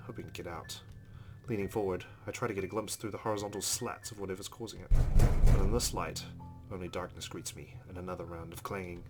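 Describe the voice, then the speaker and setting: A man reading a visual novel aloud as dramatic narration for a let's-play. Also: deep voice.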